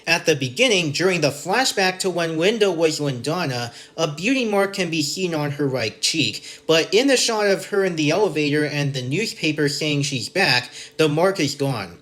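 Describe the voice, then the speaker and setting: A man from Canada with a general North American accent, hosting a review show. He reads from his notes in a measured, list-like way, in a voice pitched a little above the usual for a man. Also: animated, a bit bright. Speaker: podcast host and episode reviewer. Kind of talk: scripted review narration.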